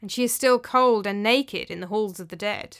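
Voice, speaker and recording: Woman playing a forceful, brooding Greek tyrant. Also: mid-range voice, normal speed, clean tone, close to an everyday voice. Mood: commanding. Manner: commanding, abrupt. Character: angry, brooding male tyrant of ancient Greece.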